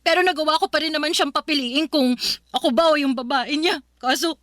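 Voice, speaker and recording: A tearful, high-pitched heartbroken daughter. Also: female voice, fairly quick, fairly bright tone, no room sound, clean studio sound. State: crying. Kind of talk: Filipino radio drama acting.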